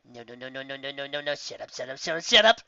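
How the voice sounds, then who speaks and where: A man's voice: medium pitch, expressive, quick pace, sharp tone, dry recording, lo-fi sound. A man voicing a proud, flustered female pony magician in a storybook reading, emphatic and exasperated. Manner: emphatic, repetitive, exasperated denials. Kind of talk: audiobook character acting.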